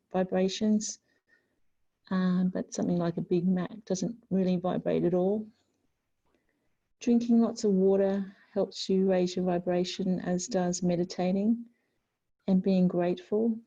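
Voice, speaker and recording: A woman teaching a yoga class, giving gentle, unhurried guidance with pauses between phrases. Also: on the low side. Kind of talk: guided yoga class instruction. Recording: slightly dull, lo-fi sound.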